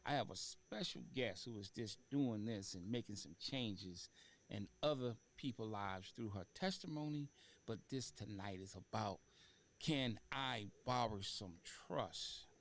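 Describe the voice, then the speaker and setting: Male talk show host, halting delivery. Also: on the low side, fairly bright tone. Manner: halting, repeats words for emphasis. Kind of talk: casual interview talk. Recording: faint background noise.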